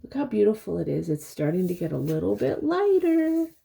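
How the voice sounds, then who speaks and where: An older woman reading a picture book aloud to young children, pausing to explain things and ask simple questions. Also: medium pitch, vocal fry, slightly rough. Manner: expressive, simple explanations and questions for little listeners.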